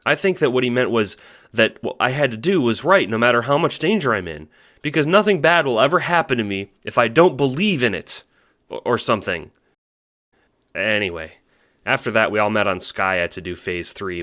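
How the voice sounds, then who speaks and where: A man's voice: on the low side, expressive, normal speed, sharp tone. A man playing a meek, earnest young male troll who talks with a hesitant, apologetic stammer in an audio drama monologue. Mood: earnest, animated, then unsure, wistful. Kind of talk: audio drama monologue, recounting adventures as a log.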